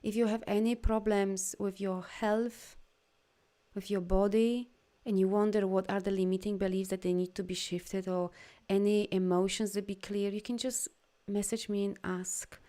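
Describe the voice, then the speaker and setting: A woman's voice: little pitch movement, normal speed, warm tone. Polish-accented woman, energy coach, speaking live, heartfelt, rising emphasis, not her first language. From Poland. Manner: heartfelt, affirming, rises in pitch and volume when emphatic. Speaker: energy healer and coach hosting a live video. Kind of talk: live stream talk answering viewer comments.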